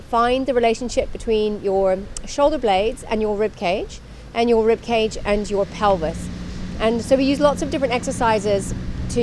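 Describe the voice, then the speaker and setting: A woman's voice: on the high side, a little mellow, a little hiss or hum. A woman instructor talking through an exercise demonstration in an instructional way, repeating her cues as she goes.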